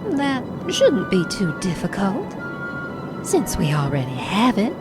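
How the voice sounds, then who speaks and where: An actress playing a sceptical, sharp-tongued woman in an audio drama, speaking with deliberate sarcasm. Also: mid-range voice, huge pitch swings. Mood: smug, defiant. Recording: music behind the voice.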